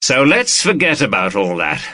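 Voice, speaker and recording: A man's voice: medium pitch, normal speed, dry recording. A man playing a tired, soothing partner. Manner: calm, reassuring, firm. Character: weary, soothing man with a past temper.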